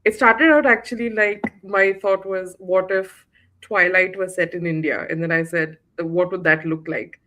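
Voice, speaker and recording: Woman author from the uae, lowish voice, lots of ums and restarts, video call chat. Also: steady tone, moderate pace, sharp tone. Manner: hesitant, many fillers and restarts. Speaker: guest author. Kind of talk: casual interview chat.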